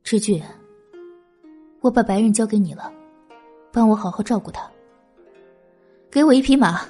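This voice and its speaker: A woman voicing a shrewd, resolute female strategist in an audiobook, measured, wry and commanding. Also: medium pitch, lively intonation, warm tone, breathy edge, faint music behind. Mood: solemn.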